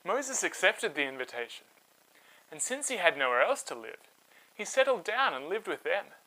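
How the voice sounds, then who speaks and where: A man tells a children's story as host, with a bright, forceful voice. His pitch moves freely and expressively, giving the scripted narration a dramatic, animated feel. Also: normal speed, poor audio.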